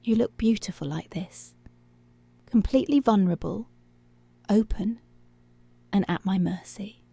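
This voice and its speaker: Seductive, controlling policewoman played by a woman for ASMR roleplay: mellow, creaky, half-whispered, teasing, close-miked. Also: mid-range voice, unhurried, long pauses, slight background noise. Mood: intimate, commanding. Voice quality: breathy, often slipping into whisper. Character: dominant, commanding, seductive policewoman. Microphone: close microphone.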